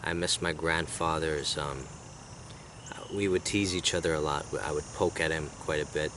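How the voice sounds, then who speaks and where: A man in his thirties, whose first language is Greek, talking in a personal vlog in a soft, reflective way that often trails off. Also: extremely low pitch, fairly even intonation, moderate pace, background noise. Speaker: vlogger.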